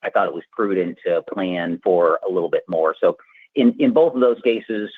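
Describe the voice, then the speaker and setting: Very low, husky man, phone line. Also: normal speed, no room sound. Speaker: company finance executive answering analysts. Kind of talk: earnings call answers, unscripted explanation. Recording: narrow tinny conference phone line.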